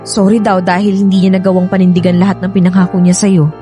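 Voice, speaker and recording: An actress with a Filipino accent playing a nervous, hesitant woman friend who speaks haltingly and apologetically in a radio drama. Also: lowish voice, flat delivery, dark tone, close to an everyday voice. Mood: hesitant, apologetic. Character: nervous, hesitant woman friend breaking painful news gently. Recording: dramatic music bed under the voice. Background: music.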